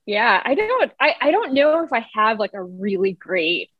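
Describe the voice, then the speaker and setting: A woman's voice: on the high side, very animated, moderate pace, breathy edge, no echo, highs cut off. A woman from the New Orleans area, a guest on a podcast, talking casually and rambling with frequent laughs. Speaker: guest, designer and paper engineer. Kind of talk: casual conversation.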